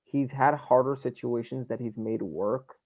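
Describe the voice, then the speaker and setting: A man's voice: medium pitch, unhurried, darkish tone, dry recording. A man from New York arguing hockey opinions emphatically in a casual live stream, often repeating himself for emphasis. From New York, United States. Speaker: sports fan show panelist. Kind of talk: casual live sports debate.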